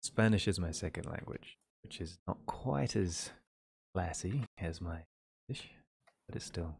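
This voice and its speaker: A relaxed New man talking over a voice call, his voice sitting very low. The sound is dry and close, with no room around it. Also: a little slow, vocal fry, breathy edge, no echo. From New Zealand. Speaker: streamer chatting in a group call. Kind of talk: casual group chat.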